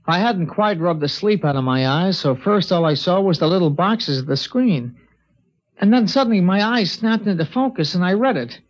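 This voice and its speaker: A man's voice: high-pitched, animated, moderate pace. An actor playing a young man who tells his own story in a 1950s radio drama. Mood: calm. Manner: plain, first-person storytelling. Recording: dull lo-fi 1950s radio broadcast.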